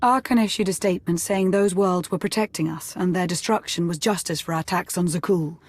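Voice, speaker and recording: An actress playing a composed, shrewd military adviser in a video game, firm and controlled. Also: female voice, fairly low pitch, fairly quick, a little mellow, no echo, close to an everyday voice. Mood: serious.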